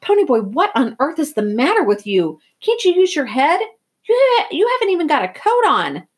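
Woman acting hot-tempered young man, stern older brother, loud scolding, raised pitch, storybook reading. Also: very animated, normal speed. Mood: furious.

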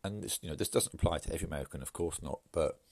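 Very deep-voiced stuffy podcaster. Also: male voice, vocal fry, darkish tone, no room sound, studio quality. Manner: measured, hesitant with fillers and restarts. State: congested from hay fever. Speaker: podcast host. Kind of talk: solo podcast commentary.

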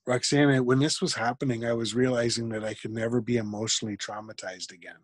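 A man, a guest in an interview, talking reflectively and haltingly, with frequent restarts. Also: medium pitch, steady tone, no room sound, clean studio sound. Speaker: podcast guest. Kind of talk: conversational interview.

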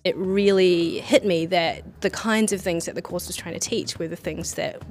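Conversational woman, lowish voice. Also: steady tone, moderate pace, slight background noise. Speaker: journalist.

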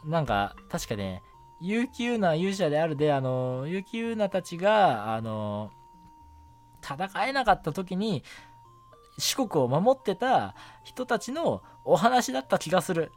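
A young man hosting a casual solo radio show, talking in a halting way as he thinks aloud. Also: on the high side, sing-song. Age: young adult. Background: background music.

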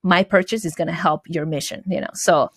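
Venezuelan woman, low voice, earnest and chatty, keeps restarting words, dry close sound. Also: steady tone, fairly quick, fairly bright tone, breathy edge, no room sound. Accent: Venezuelan Spanish. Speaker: guest, small business owner. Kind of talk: casual interview chat.

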